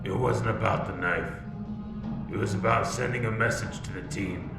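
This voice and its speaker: A man's voice: fairly low pitch, normal speed, gravelly. A man playing a battle-hardened, grim and unyielding first sergeant in a military sci-fi audio drama, terse and commanding. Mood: somber reflection. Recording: music bed behind the voice.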